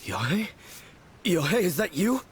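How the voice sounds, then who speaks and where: A man's voice: high voice, very animated, unhurried, slight background noise. A man voicing a timid, self-pitying young swordsman in a video game, shaken and earnest. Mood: shaken, confused.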